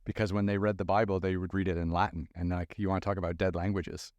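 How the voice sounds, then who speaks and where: A man hosts a podcast interview in a relaxed, offhand way, swearing now and then. His voice sits on the low side. The close studio mic gives a dry, intimate sound. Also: quick pace, no room sound, dull audio. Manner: casual, loose, swears freely. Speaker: podcast host. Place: recording studio.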